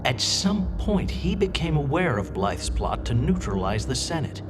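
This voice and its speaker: A man's voice: on the high side, very animated, normal speed. An actor playing a scheming, manipulative male senator with a persuasive, insinuating delivery in a video game cutscene. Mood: sly, persuasive. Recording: loud music under the voice.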